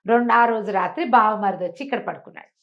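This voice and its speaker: A woman's voice: medium pitch, fast, highs cut off. Woman storyteller, conversational delivery. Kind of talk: oral folk-tale storytelling, conversational.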